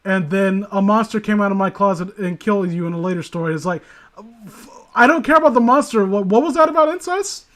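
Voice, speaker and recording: A man's voice: high-pitched, expressive, quick pace, a bit bright. A man casually reading aloud and commenting, sardonic and prone to profane asides. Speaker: host reading and critiquing internet horror stories.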